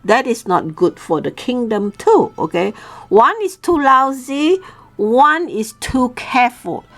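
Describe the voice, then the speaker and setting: Slow-paced woman teacher. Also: a little high, very animated, fairly bright tone. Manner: didactic, often checks with "okay?". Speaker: storytelling teacher and host. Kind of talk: informal storytelling lesson for learners.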